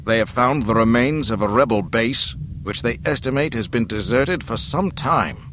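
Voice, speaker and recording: A man's voice: medium pitch, animated, normal speed, fairly bright tone, a little hiss or hum, voice pushed up, natural voice. A man playing an urgent, formal male military officer making clipped reports in an audiobook. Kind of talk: audiobook character acting.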